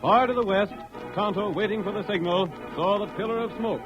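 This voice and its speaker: A man's voice: high voice, fairly quick. An American man narrating a western adventure as a dramatic announcer on an old radio show. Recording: muffled old radio recording with music.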